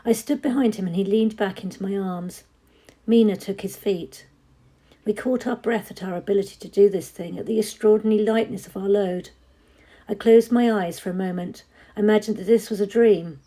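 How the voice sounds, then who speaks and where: A woman narrating a story expressively, with dramatic, measured phrasing that is often hushed. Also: medium pitch, fairly even intonation. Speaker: story reader. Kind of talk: expressive audiobook-style narration.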